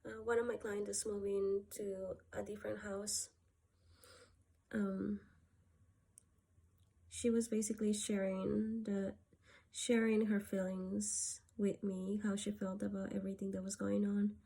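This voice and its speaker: A woman from Peru talking through a personal gratitude list, softly and hesitantly, with many pauses and fillers. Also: medium pitch, little pitch movement, gravelly, slightly rough. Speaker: vlogger.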